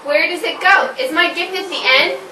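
Woman playing a little duck puppet, extremely high voice, earnest, reassuring friend, homemade show, roomy recording. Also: moderate pace, pushed and bright, a little hiss or hum. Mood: eager. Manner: earnest, consoling. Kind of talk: amateur puppet show dialogue. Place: indoor room, puppet stage, far camera. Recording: roomy distant camera audio with music behind.

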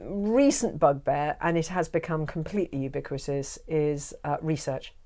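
A middle-aged woman talking as a guest in a casual studio chat, expressive and self-deprecating, laughing often, on a lapel mic. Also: sits low, moderate pace. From England. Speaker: guest, narrator and director. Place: small indoor studio set.

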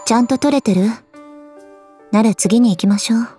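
A woman voicing a cool, aloof, quietly caring gorgon swordswoman in a game, languid, deadpan and curt, with a soft, husky voice. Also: a little high, moderate pace, soft background music, close to an everyday voice. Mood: dry, resigned. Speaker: voice actress. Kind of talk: scripted Japanese mobile game character lines.

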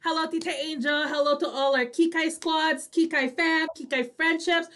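A woman's voice: sits high, fairly even intonation, moderate pace, a bit bright. A woman co-hosts an online radio talk show on a video call, chatting casually and effusively with Tagalog tags dropped in. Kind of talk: lively casual co-host chat.

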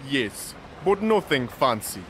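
An actor playing a dry, sardonic traveller in an audio drama. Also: male voice, mid-range voice, sing-song, slow pace, noisy background. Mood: dry sarcasm. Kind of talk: full-cast audio drama acting. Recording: heavy background ambience under voice.

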